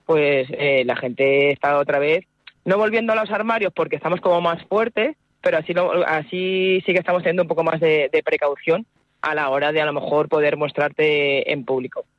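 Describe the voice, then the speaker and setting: A woman's voice: low-pitched, moderate pace, no room sound, highs cut off. A woman in her forties from Madrid, an activist spokesperson answering radio interview questions earnestly, with many hesitations and restarts. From Madrid, Spain. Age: 40s.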